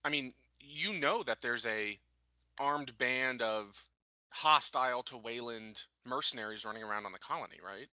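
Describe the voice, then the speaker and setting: A man's voice: medium pitch, moderate pace, pushed and bright, dry recording. A man playing a tabletop game, talking casually and arguing his reasoning through. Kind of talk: casual strategy talk during a roleplaying game.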